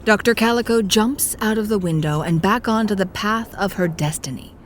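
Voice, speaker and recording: A woman's voice: medium pitch, moderate pace, background noise. A woman narrating a scripted audio drama in a mock-grand storybook manner.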